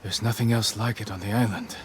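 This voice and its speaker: A low-pitched samurai acted by a man speaks tersely, with calm resolve and honor. It is dramatic game cutscene acting, measured and firm, over faint rain noise. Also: lightly acted. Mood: calm, reflective. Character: stoic, honorable male samurai warrior, calmly resolute.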